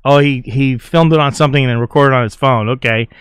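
A man's voice: mid-range voice, fast, clean tone, dry recording, studio quality. A man hosting a casual reaction video, commenting on game clips and playfully reading captions aloud. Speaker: YouTube host.

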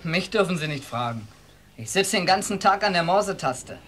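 An actor playing a fussy, unruffled telegraph clerk in a German radio play, officious and matter-of-fact. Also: male voice, high-pitched, animated, normal speed, a bit bright, a little hiss or hum.

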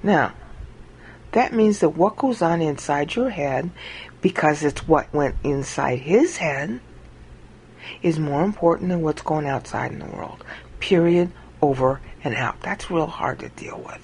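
A woman giving an informal Bible teaching monologue over a screen recording, acting out quoted lines with big jumps in pitch. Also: animated, measured pace, darkish tone, a little hiss or hum.

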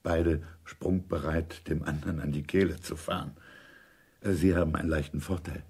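Very deep, gravelly intelligence chief. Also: male voice, steady tone, normal speed, a little mellow, full character voice. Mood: measured, authoritative. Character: senior intelligence chief, urbane, matter-of-fact, authoritative older man. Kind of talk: German radio drama acting.

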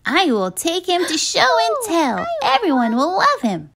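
A woman's voice: very high-pitched, wildly swooping pitch, unhurried, fairly bright tone, voice pushed up, heavily acted. A woman voicing an eager, bossy, easily exasperated little girl in a children's storybook, emphatic and exclaiming. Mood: eager, dreamy.

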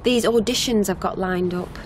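A woman's voice: mid-range voice, lightly acted. An actress playing a flustered, apologetic young woman hitch-hiker in a naturalistic radio drama, speaking hesitantly. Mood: hesitant, frustrated. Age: young adult. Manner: hesitant, halting. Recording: clear vehicle noise behind. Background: car interior ambience, engine noise.

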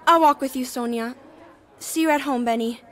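Woman as earnest nervy school girl, high voice, kids audio drama. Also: moderate pace, lightly acted. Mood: flustered. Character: earnest, anxious, studious schoolgirl twin. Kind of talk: naturalistic youth audio drama acting.

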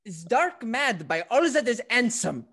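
A woman's voice: fairly high pitch, very animated, unhurried, fairly bright tone, slightly rough, heavily acted. A woman playing a vain, foolish male fop in a classical stage comedy, with an affected, florid way of talking. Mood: self-satisfied, airy. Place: indoors at home, on a video call.

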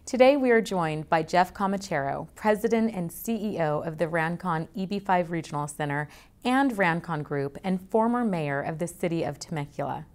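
A woman in her thirties hosts a business interview, her voice sitting a little low as she asks polite, brisk questions. She is recorded indoors in an office on a clip-on mic. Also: expressive. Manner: polite, scripted intro then brisk questions. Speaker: host. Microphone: lapel mic.

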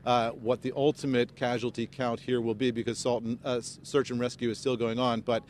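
A middle-aged American man delivers a live field news report. His voice sits at a medium pitch, picked up on a handheld mic outdoors with a faint rumble of background noise. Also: flat delivery, a little fast. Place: outdoors by a highway among press crews. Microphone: handheld microphone held close. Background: low rumbling outdoor noise.

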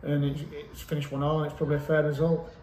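Matter-of-fact football manager. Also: male voice, fairly high pitch, level tone, dark tone. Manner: measured, matter-of-fact, frequent hesitant ums. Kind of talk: post-match interview. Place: small indoor club office. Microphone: camera mic at close range. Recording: echoey room, faint background noise.

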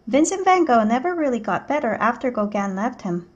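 Woman teacher doing a kids read-aloud, pretty high voice, expressive storytelling. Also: brisk, lo-fi sound. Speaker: teacher reading a picture book aloud. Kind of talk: children's read-aloud narration.

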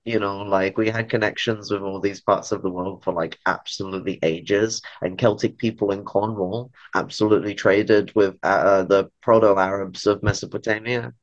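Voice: sits low, steady tone, normal speed, hardly any pauses, dry recording. A young adult with a British accent chatting casually and irreverently over a video call. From United Kingdom. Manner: irreverent, wry. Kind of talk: casual chat. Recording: dull, dry call audio.

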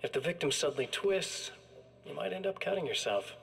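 A man's voice: fairly high pitch, lively intonation, fairly quick, gravelly, fairly bright tone. A man playing a sadistic evil-twin killer in a video game, chatting with smug, casual menace. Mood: smug relish. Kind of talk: video game monologue acting.

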